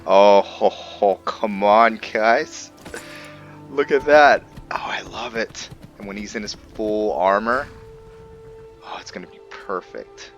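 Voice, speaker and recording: A man's voice: fairly low pitch, slow pace, lo-fi sound, soft background music. A man hosting a gameplay video, talking informally and thinking aloud to his viewers in casual roleplay commentary. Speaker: gaming video host.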